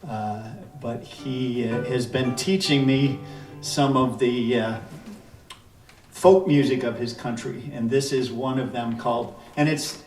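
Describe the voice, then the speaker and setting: A relaxed man introduces a tune in casual stage chat, rambling and restarting as he goes. He sounds set back from the microphone, his voice ringing around a living-room space. Faint music sits under him. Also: medium pitch, slow pace. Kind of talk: casual stage banter at a concert. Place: living room at a house concert. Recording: roomy, distant, faint music.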